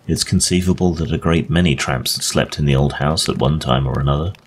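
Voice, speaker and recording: A man reads the part of a genial gentleman ghost hunter with an extremely deep voice. He explains his case conversationally, in old-fashioned storybook phrasing, over clear recording noise. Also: brisk. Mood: explaining.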